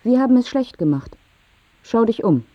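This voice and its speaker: An actress in a radio play playing an anxious, doting mother who can be combative, with a breathy, husky voice. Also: a little high, big pitch swings, normal speed, no room sound, highs cut off. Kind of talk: radio drama dialogue.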